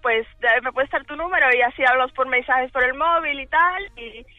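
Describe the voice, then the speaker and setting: A woman's voice: sits high, a little fast, no echo, faint music behind. A woman from the Dominican Republic calling in on the phone, telling her own story in an informal, giggly way. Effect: telephone. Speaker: radio caller. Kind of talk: casual personal storytelling. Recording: tinny band-limited phone line.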